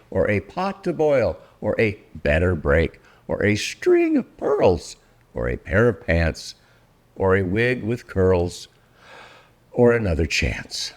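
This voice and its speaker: Older man, very animated storybook reader. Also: lowish voice. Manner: theatrical, deliberate phrasing with drawn-out words. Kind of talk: expressive read-aloud of a rhyming children's book.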